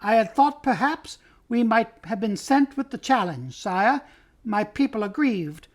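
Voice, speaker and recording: A man voicing a small, valiant talking mouse, shrill and ceremonious, proud and touchy about honor, in a storybook reading. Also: lively intonation, measured pace. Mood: courtly pleading. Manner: formal, ceremonious.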